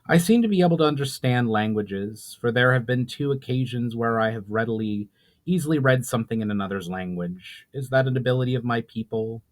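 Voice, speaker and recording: A man's voice: mid-range voice, fairly quick, lightly acted. A man playing a curious, forthright male elf hero in a dramatic fantasy audiobook, speaking with emphatic, questioning delivery. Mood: curious, insistent. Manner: emphatic, inquisitive.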